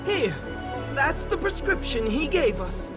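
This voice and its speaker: An excitable, talkative game sidekick. The voice swings widely in pitch, eager and effusive yet mock-dignified in its formal wording, with loud music underneath. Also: takes it slowly, exaggerated voice. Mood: helpful, eager. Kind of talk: video game voice acting.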